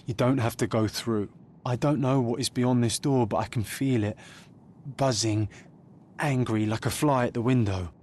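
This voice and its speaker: An actor playing the detective's loyal, worried companion in a video game, speaking in a gentle, pleading way. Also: male voice, mid-range voice, normal speed, slight background noise, natural voice.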